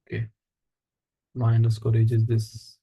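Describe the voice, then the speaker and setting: Man, instructor, live coding lesson, step-by-step explaining, asks the class questions, mid-pitched voice. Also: completely flat delivery, moderate pace, warm tone, husky.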